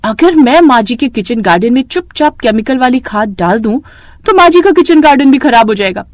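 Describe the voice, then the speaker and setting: A woman's voice: a little high, lively intonation, brisk, no echo, close to an everyday voice. A woman voicing a scheming young daughter-in-law in a moral story, with a dramatic, complaining manner. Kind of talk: Hindi moral story voice acting.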